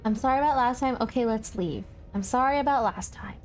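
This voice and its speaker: Woman streamer with a fairly high voice. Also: fairly quick, darkish tone, soft background music. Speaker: video game streamer. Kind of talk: casual playthrough commentary, reading choices aloud.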